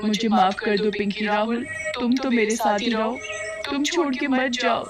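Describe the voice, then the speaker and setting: A woman's voice: on the high side, fairly even intonation, normal speed, pitched above the performer's own voice, close to an everyday voice. A woman voicing a greedy, hot-tempered wife in a melodramatic cartoon story, whiny and dramatic. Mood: pleading. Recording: loud music behind the voice.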